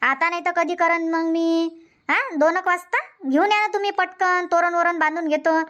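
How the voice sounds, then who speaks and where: A woman playing a high-pitched, nagging village housewife. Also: steady tone, moderate pace, fairly bright tone, dull audio. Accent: Varhadi Marathi (Vidarbha). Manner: scolding, repetitive complaining. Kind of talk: Marathi comedy cartoon dubbing.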